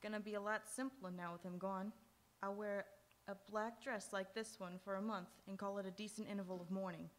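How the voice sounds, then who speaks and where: A woman's voice: medium pitch, steady tone, fairly quick, lightly acted. A woman playing a brazen, bitter young noir suspect in a live radio drama, frank and coolly callous. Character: cool, bitter, brazen female murder-victim's sister.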